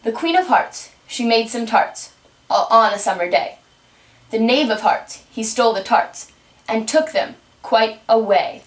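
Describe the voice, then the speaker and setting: A woman's voice: on the high side, animated, measured pace. A woman voicing an officious, deferential rabbit herald in an amateur puppet show, reciting formally. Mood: proclaiming. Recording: roomy, distant microphone.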